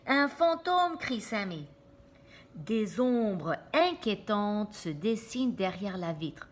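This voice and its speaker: A woman reading a children's storybook aloud like a teacher, expressive and dramatic, with explanatory asides to young listeners. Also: medium pitch, slow.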